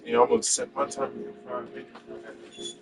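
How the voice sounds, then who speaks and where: A man's voice: mid-range voice, little pitch movement, moderate pace. A man chatting casually on a game stream, thinking aloud about what he has to do next. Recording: music playing behind. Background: music.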